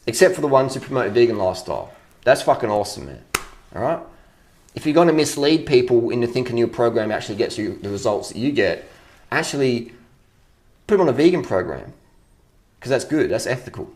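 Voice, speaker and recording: A man's voice: medium pitch, sing-song, fairly quick, long pauses, darkish tone. A man in a casual, unscripted rant as an online commentator, blunt, opinionated and freely swearing, often repeating phrases for emphasis. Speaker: online fitness commentator answering viewer comments.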